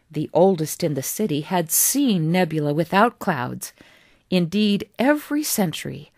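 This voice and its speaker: A woman narrating a story as its author, in a measured, deliberate storytelling manner with pauses between phrases. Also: medium pitch, a little mellow, no echo, clean studio sound. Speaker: author reading own story.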